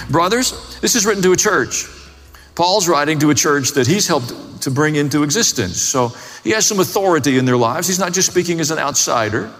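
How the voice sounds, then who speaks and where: A male pastor delivers a sermon with long reverb around his voice. His pitch is high for a man. He explains things plainly, as a teacher to his congregation. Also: a bit bright. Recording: long reverb of a large hall.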